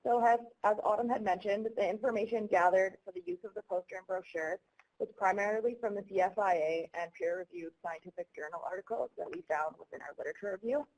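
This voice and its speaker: A woman's voice: medium pitch, fast, darkish tone, poor audio. A young adult woman, a student, giving an explanatory semi-scripted presentation on a conference call.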